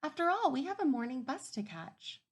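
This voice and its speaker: A woman reading the part of a gentle, tired, sick man in a storybook, slow and polite. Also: sits high, very animated, voice pushed up. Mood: grateful, gentle.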